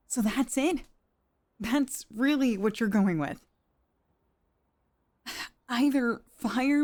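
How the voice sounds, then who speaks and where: Slow, wounded young woman, acted out by a woman. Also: fairly high pitch, warm tone. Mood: indignant disbelief. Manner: halting, sighing, emotionally wounded delivery. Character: distressed young woman employee cornered by her boss. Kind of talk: dramatic ASMR roleplay monologue.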